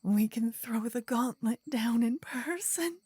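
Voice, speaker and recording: A woman's voice: on the high side, animated, darkish tone, no echo, voice pushed up. A woman voicing a possessive, seductive and bloodthirsty demon queen in a fantasy audio roleplay, with a whispery, intimate delivery. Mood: eager, seductive. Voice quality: breathy, whispery. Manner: slow, sultry.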